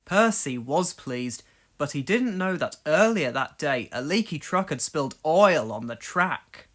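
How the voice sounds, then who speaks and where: A storyteller reading a scripted children's read-along with expressive delivery. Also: mid-range voice, a little slow. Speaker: storybook narrator.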